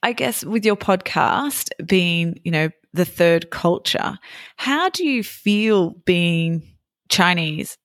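A woman's voice: fairly low pitch, expressive, takes it slowly, a bit bright, no echo. Curious woman interviewer, dry sound. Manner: curious, hesitant questions. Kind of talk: casual interview questions.

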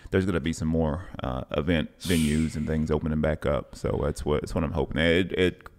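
A man hosting a podcast in casual conversation, speaking in a halting, reflective way and often repeating words. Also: very deep voice, fairly even intonation, a little mellow. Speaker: podcast host, coach. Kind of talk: casual interview chat.

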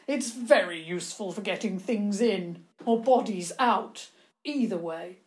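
A woman voicing a cruel older lord in a storybook, sarcastic and quietly threatening. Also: medium pitch, measured pace, close to an everyday voice. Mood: smug, soft threat. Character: cruel, sneering lord, menacing older uncle.

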